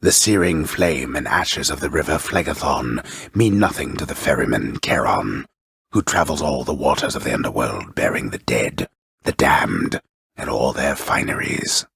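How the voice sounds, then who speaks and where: Game narrator: grandiose underworld storyteller, extremely low and heavily husky, solemn with dry wit, measured delivery. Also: male voice, expressive, a bit bright, no echo, heavily acted. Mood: solemn, ominous. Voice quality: gravelly, husky. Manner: solemn, ornate, measured, drily wry. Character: grandiose, wry old-world male storyteller of the underworld. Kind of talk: dramatic scripted fantasy narration. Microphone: close studio microphone.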